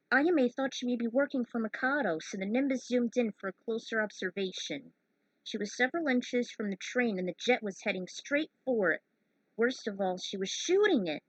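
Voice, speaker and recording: A woman's voice: a little high, dry recording, muffled recording. A woman narrating a story, slipping into informal, chatty asides in a casual way. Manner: informal, chatty commentary between passages. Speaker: fan fiction narrator.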